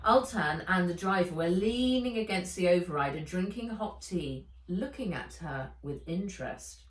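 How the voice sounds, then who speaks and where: A woman's voice: fairly low pitch, expressive, normal speed. A woman author reading a children's novel aloud in an audiobook narration style. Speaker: author reading their own book. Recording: roomy echo, slightly distant mic.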